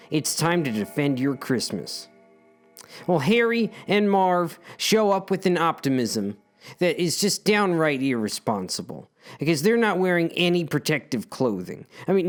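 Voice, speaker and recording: Wry, theatrical male storyteller. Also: fairly high pitch. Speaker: author reading own story. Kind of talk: dramatic scripted narration addressing the characters.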